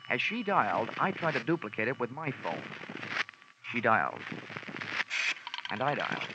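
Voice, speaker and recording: A man's voice: medium pitch, heavy background noise, lightly acted. An American man acting a cynical, wisecracking freelance investigator who narrates his case dryly in an old radio detective drama. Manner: dry, wisecracking, clipped storytelling. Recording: dull old radio recording with music.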